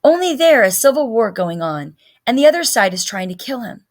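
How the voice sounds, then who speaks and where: A woman reading a storybook, voicing a bossy, imaginative girl who turns theatrical and excitable, playing a dramatic high priestess. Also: brisk. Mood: eager, scheming.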